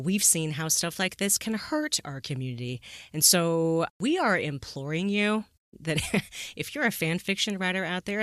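A woman's voice: deep voice, no room sound, clean studio sound. A woman hosting a podcast with casual, opinionated talk, emphatic and repeating phrases for stress. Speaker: podcast host.

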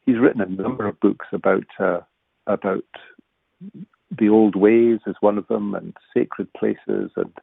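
A man's voice: lowish voice, unhurried, vocal fry, slightly rough, no echo. A man from Scotland giving a thoughtful interview about walking and pilgrimage, with frequent restarts. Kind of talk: reflective conversational interview. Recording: dry, tinny phone-like line.

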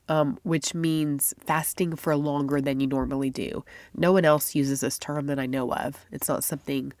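A woman's voice: deep voice, no echo. A woman hosting a faith-based health podcast, talking in a chatty, confiding way with a stuffy, congested nose. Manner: chatty, confiding, laughs often. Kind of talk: casual podcast monologue.

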